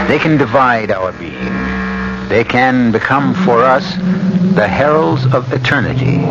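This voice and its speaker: A man hosting a radio mystery, reading scripted narration in a deliberate, theatrical manner. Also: lowish voice, heavy background noise. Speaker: host and narrator. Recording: muffled old radio broadcast, loud music.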